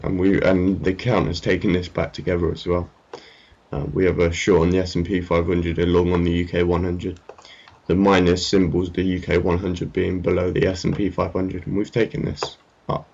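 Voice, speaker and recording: A man's voice: deep voice, little pitch movement, normal speed, creaky, a little mellow, breathy edge, muffled recording. A man giving casual, unscripted commentary on a screen as a market analyst, hesitant and rambling. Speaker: trading analyst presenting his market update. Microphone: amateur screen-recording voice-over.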